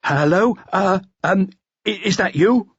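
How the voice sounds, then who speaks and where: A man voicing a nervous, conspiratorial police inspector in an audiobook, halting, clipped and furtive. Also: high voice, breathy edge, no echo, highs cut off, voice pushed up.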